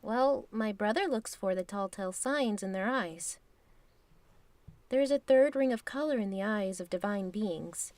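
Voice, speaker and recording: A woman playing a composed, knowing divine being in an audio drama, with frank, measured and formal phrasing. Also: medium pitch, a little mellow, no room sound, lightly acted. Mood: explaining.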